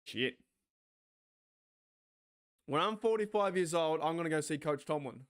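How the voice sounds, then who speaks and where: An Australian man hosting a sports watch party stream, rambling excitably, swearing and talking back to his chat. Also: a little high, measured pace. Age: 40s.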